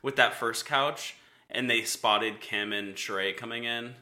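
A man co-hosting a casual podcast recap of a reality TV show, chatty and full of "like," with a naturally high voice and frequent laughs. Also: unhurried, sharp tone. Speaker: podcast co-host.

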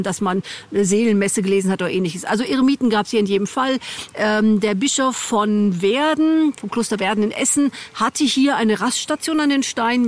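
Female guide, mid-range voice, candid and explanatory, informal interview delivery. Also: mid-range voice. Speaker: local guide being interviewed. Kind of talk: informal spoken explanation of local history and legends.

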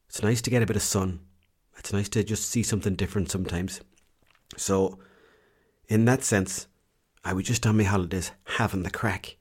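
A man's voice: on the low side, a little mellow. An Irish man telling a rambling, self-mocking holiday story in casual vlog style, with slang and the odd swear. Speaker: vlogger.

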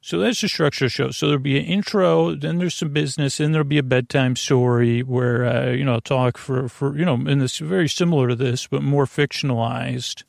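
A man with a creaky voice hosting a sleep podcast, speaking in a meandering, halting bedtime monologue full of soothing tangents and mild whimsy. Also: mid-range voice, fairly even intonation, no echo, studio quality. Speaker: podcast host.

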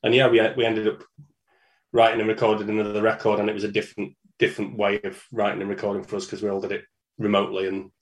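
Give me a relaxed Manchester man talking as a podcast guest, low and fairly monotone. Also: fast talker. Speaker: band singer, guest. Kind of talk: casual interview chat.

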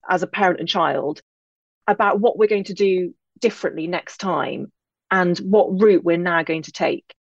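An English woman speaking as an interview guest, reflective, articulate and measured in how she puts her thoughts together. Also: fairly low pitch, dry recording, muffled recording. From England-born, grew up in Northern Ireland. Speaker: podcast guest, author.

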